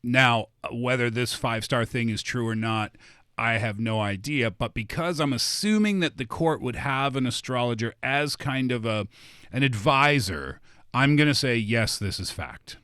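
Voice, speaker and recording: A man's voice: medium pitch, moderate pace, sharp tone, clean tone, no room sound. Male talk show host, mid-range voice, casual banter, dry studio sound.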